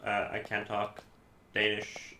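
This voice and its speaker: A man from Iceland with an Icelandic accent, a native Icelandic speaker, chatting casually as a game streamer in a halting, rambling, self-correcting way. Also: on the low side, monotone, bright, forceful tone. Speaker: live streamer playing games. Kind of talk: casual chat while gaming. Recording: echoey room, faint background noise.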